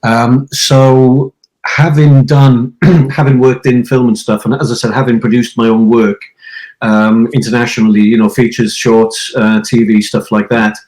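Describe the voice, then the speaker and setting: A man's voice: medium pitch, level tone, measured pace, darkish tone, poor audio. A man from Wales whose first language is Welsh, chatting at length as an interviewed author and producer, rambling with many fillers. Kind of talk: casual interview talk.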